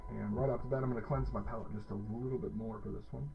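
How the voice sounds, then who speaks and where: A man's voice: on the low side, fairly even intonation, fast talker, creaky. A man hosting a casual hot sauce review, talking with enthusiasm and rambling through fillers and restarts. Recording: dull lo-fi sound with faint music.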